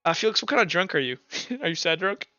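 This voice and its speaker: A male game master improvises casual narration for a tabletop game. His voice is fairly high and bright, with a dry, slightly dull recording. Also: lively intonation, quick pace, no room sound.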